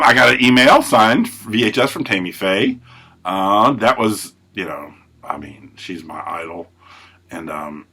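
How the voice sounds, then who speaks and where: A man hosting a recovery podcast, telling his life story in a rambling, self-correcting way with a big, loud voice. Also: fairly low pitch. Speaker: podcast host. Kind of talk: personal recovery monologue.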